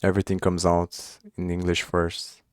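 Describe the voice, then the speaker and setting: A man's voice: sits low, monotone, moderate pace, clear, resonant tone, no echo, clean studio sound. A young man from Brazil with a Brazilian Portuguese accent, speaking English as a second language, chatting casually and thinking aloud while coding on a livestream. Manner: thinking aloud, restarts and trailing phrases. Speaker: coding streamer.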